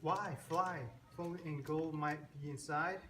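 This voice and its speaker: A man's voice: a little high, steady tone, measured pace, bright, forceful tone. A man playing a greedy, scheming cottage sister in an amateur rhyming puppet show. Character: Gluck sister, greedy, scheming cottage woman after gold. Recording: distant mic, clearly noisy, clicks.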